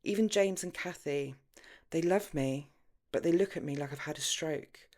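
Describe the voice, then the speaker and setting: Audiobook role: breezy, headstrong wife, voiced by a female reader, brisk and emphatic. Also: low voice, darkish tone, natural voice. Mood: resigned. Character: headstrong, breezy married woman seeking fun.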